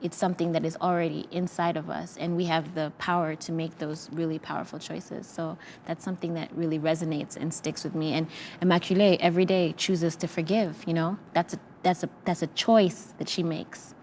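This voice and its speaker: A young woman with a lowish voice reflects earnestly over a video call. She pauses on fillers as she works through her thoughts, and faint background noise sits under her voice. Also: level tone, a little mellow. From Rwanda. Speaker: actress, the play's lead. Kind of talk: reflective talkback answers.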